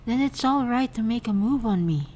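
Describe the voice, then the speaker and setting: A woman voicing a composed, tender and teasing young woman in love, measured and gently coaxing, in a fan fiction reading. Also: a little high, lively intonation, voice pushed up.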